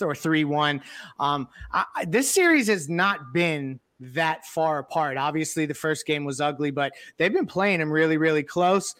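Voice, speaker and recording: A man's voice: on the high side, fairly bright tone, dry recording. A man co-hosting a sports podcast, chatting in an informal, wry way. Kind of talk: casual sports chat.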